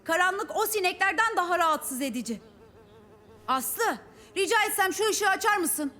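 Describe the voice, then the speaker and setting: A woman's voice: extremely high pitch, expressive, normal speed, sharp tone, full character voice. A woman voicing a bookish, long-suffering young boy in a children's cartoon, earnest and precise in his speech. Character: bookish, exasperated young boy wanting peace and quiet. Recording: music behind the voice.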